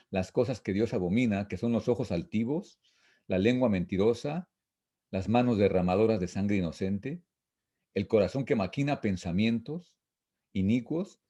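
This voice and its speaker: A man teaching an informal Bible lesson, reading and explaining verses in a repetitive, halting, didactic way. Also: on the low side, dark tone. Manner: didactic, repetitive, halting with frequent false starts.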